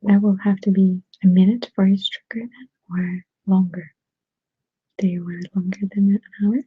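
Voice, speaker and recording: A woman speaks in a hushed, very breathy whisper with a Russian accent. She chats gently and unhurriedly in an ASMR style, pausing as she goes. The sound is dry and close, though muffled and thin like a narrow phone band. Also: medium pitch, flat delivery, no room sound. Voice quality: soft, breathy, mostly whispered. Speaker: ASMR creator hosting a live stream. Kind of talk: ASMR, casual chat answering viewers. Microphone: sensitive close microphone.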